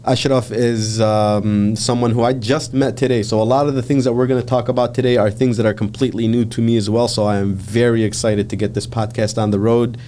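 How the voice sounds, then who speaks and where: A man from Michigan hosting a casual podcast interview, chatty and relaxed, with frequent fillers and restarts. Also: medium pitch, fairly quick, hardly any pauses. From Michigan, USA. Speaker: podcast host.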